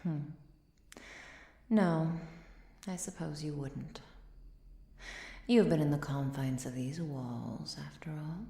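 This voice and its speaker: Woman as a low, slow, whispery vampire countess. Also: reverberant, heavily acted. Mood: teasing, silky. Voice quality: soft, breathy, often dropping to a whisper. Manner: measured, formal, archaic diction. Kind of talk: ASMR roleplay acting.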